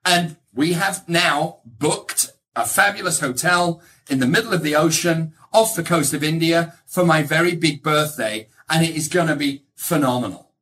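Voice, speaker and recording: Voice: medium pitch, normal speed, a bit bright. A middle-aged speaker from England giving a motivational talk to an audience in an emphatic, conversational way, often checking in with short rhetorical tags. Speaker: motivational speaker, real estate agent.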